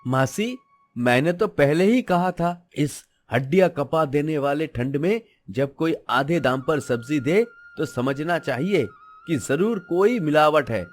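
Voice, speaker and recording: A man playing an honest, principled young vegetable seller, speaking in a measured, earnest, moralizing way in a cartoon. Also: fairly high pitch, expressive, faint music behind. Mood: calm, earnest. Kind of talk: Hindi moral-story cartoon acting.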